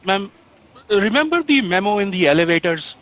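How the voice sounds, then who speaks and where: A woman playing an earnest, long-suffering copy aide in a comedic audio drama, deliberate and dry with an anxious urgency. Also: mid-range voice, big pitch swings, dull audio, close to an everyday voice.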